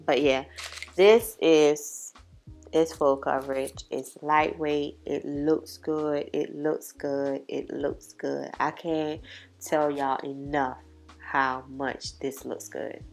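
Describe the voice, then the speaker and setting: A woman's voice: low-pitched, steady tone, gravelly. A congested woman, chatting casually to camera about makeup and giving her opinions. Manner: chatty, opinionated, drawn-out emphasis. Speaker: beauty vlogger. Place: home makeup setup with ring light. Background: soft background music.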